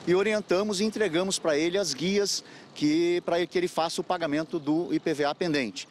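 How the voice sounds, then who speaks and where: A middle-aged man, interviewed at the roadside on a handheld mic, speaking formally and haltingly with restarts. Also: high voice, steady tone. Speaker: tax official. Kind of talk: street interview. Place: roadside outdoors. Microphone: handheld reporter mic. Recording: street noise, passing cars. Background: traffic.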